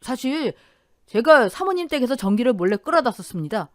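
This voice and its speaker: A man's voice: extremely high pitch, sing-song, a little fast, a bit bright, no echo, clean studio sound, exaggerated voice. A woman voicing a broke, shabby male real estate agent who pleads and apologizes. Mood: apologetic.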